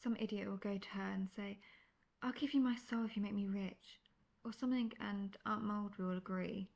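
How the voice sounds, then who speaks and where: Casting: actress as veteran female monster hunter, wry and self-assured, creaky mid-pitched voice, fantasy audio roleplay. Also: steady tone, moderate pace, darkish tone, muffled recording, close to an everyday voice. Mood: scornful, wry. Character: seasoned, cocky, world-weary female monster hunter, friendly mentor.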